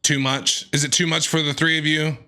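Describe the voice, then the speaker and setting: A man streaming a game, reacting with quick jokes and animated quips. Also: a little high. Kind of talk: reaction commentary over a game.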